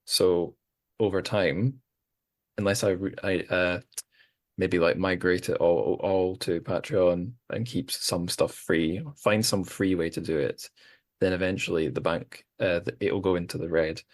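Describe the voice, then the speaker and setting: A man's voice: low-pitched, steady tone, measured pace. A man hosting a podcast, chatting casually with guests, rambling and self-deprecating with many restarts. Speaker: podcast host. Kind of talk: casual group chat.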